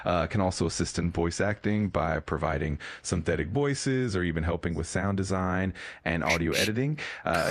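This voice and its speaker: A man's voice: deep voice, breathy edge, no room sound. A male AI assistant voice giving a polished, upbeat, list-like explanation on a panel.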